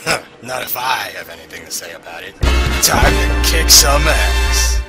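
A man's voice: fairly low pitch, big pitch swings, moderate pace. A man playing an arrogant, foul-mouthed fighting priest, brash and smug, in an anime parody dub. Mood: dismissive. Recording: loud music behind.

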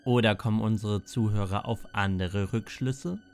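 A man's voice: on the low side, moderate pace, a little mellow. A man reading scripted documentary narration as a narrator. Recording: clearly noisy background.

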